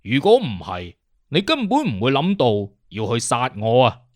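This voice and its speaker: A man's voice: mid-range voice, wildly swooping pitch, moderate pace, no echo, studio quality. A man playing a witty, conceited detective consultant in an audiobook, with a Cantonese accent and an emphatic, lecturing, self-assured manner. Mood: confident deducing. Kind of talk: audiobook character acting.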